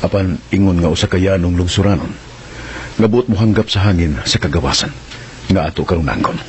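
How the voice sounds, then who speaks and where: A man acts an earnest, modest hero in a radio drama. His voice is low, his phrasing formal and sincere, with faint noise behind it. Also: brisk, close to an everyday voice. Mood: humble, earnest. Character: earnest, modest young hero of a freedom struggle. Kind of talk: melodramatic radio drama acting.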